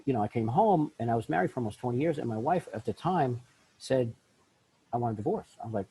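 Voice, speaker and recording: A middle-aged man, a life coach, telling his story in an interview, often jumping into lively quoted voices. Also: fast talker, husky, poor audio. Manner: acts out quoted voices with big pitch jumps. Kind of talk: animated storytelling in a podcast interview.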